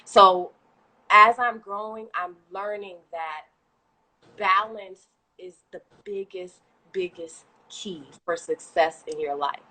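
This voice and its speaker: A woman with a Haitian accent, a guest entrepreneur talking casually and at length on a live video stream, animated and quick to laugh. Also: medium pitch, poor audio. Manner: talkative, animated, laughs often. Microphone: video call.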